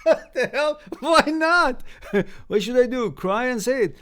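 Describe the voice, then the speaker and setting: A man's voice: extremely high pitch, animated, a little slow, sharp tone, a little hiss or hum. A man whose first language is Farsi chats live with his viewers, reading their comments aloud and answering them. His advice is blunt but easygoing, and he laughs often between sentences.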